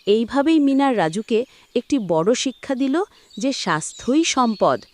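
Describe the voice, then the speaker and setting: High-voiced woman narrating a children's story. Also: sing-song, unhurried, darkish tone.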